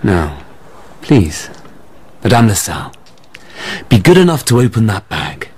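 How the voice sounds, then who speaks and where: A polite, quietly relentless gentleman in a radio play. His voice is deep and suave, with faint noise behind it. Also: very animated, a little slow. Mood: smooth, insistent.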